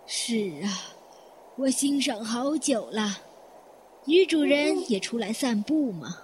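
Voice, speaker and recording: A woman acting in a Chinese audio drama as a courteous, composed elderly hotel guest with a polite, measured way of speaking. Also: on the high side, lively intonation, a little mellow, slight background noise. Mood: polite, attentive.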